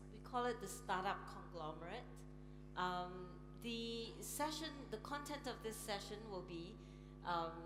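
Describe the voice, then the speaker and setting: A woman moderating a conference panel on stage, chatty, with frequent ums and light laughs. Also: medium pitch. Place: large conference hall on stage. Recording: reverberant hall, music playing.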